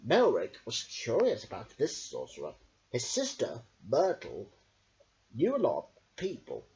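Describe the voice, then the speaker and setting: Theatrical, very animated woman storyteller. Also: rumbling low, unhurried, a little hiss or hum. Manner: theatrical, expressive. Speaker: story reader. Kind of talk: dramatic children's storybook narration. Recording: dull lo-fi home recording.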